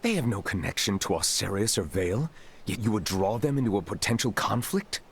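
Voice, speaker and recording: Low-voiced, courtly royal advisor. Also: male voice, animated, husky. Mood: grave counsel. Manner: formal, measured, archaic courtly phrasing. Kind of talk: video game cutscene acting.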